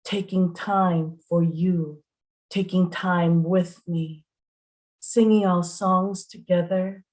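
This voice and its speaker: A middle-aged woman, speaking earnestly and deliberately as a poet at an open mic. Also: fairly low pitch, steady tone, darkish tone. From Singapore. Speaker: poet and performer. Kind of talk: spoken introduction to open mic poetry. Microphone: online video call at home.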